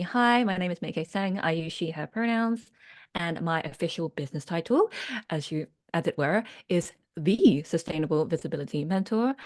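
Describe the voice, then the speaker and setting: A woman coaching business owners in a reflective, earnest online workshop, often checking in with her listeners. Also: lowish voice. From United Kingdom.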